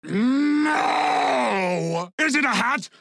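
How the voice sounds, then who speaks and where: A goofy orc shaman from a video game. The voice sits high for a male, rough and croaky, and swoops wildly up and down. He sounds simple-minded and gleeful about destruction, like an overexcited child. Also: animated, very slow, fairly bright tone, dry recording, clean studio sound, exaggerated voice. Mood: playful, gleeful. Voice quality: gravelly, raspy. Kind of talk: video game character voice lines.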